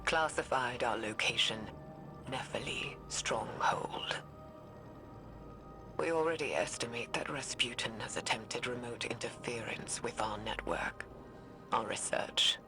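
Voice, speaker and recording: Weary, whispering old scientist. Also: female voice, rumbling low, fairly even intonation. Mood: grim, resolute. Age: older. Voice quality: breathy, whispery, frail. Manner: slow, weary, solemn. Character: weary old woman scientist, guilt-ridden, grieving, resolute. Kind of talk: dramatic recorded log monologue. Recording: music behind the voice.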